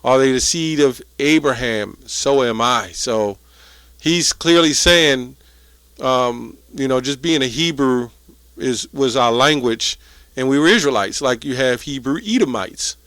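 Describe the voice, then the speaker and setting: A man's voice: medium pitch, unhurried, fairly bright tone, clean tone, no echo. Male lay preacher, mid-range voice, colloquial scripture commentary with frequent rhetorical tags, dry recording. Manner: colloquial, with rhetorical check-ins like "right?". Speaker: religious teacher.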